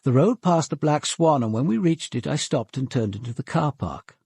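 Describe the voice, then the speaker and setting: A senior British detective in a radio drama, with a husky voice and lively, varied pitch. He is measured and formal, probing as he questions and reflective as he narrates. The sound is close and dry. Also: no room sound, full character voice.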